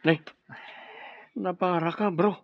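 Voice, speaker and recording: Friendly office bro, young guy, radio drama, bit high pitched, lo-fi. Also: steady tone, takes it slowly, dry recording. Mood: friendly, concerned. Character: friendly, caring young male office buddy. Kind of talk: Ilocano radio drama acting.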